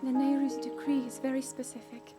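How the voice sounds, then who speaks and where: A woman's voice: high-pitched, level tone, over loud music, close to an everyday voice. A woman playing an earnest, formal teenage princess with heavy burdens in a video game cutscene. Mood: pensive, resolute. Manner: formal, measured, earnest delivery.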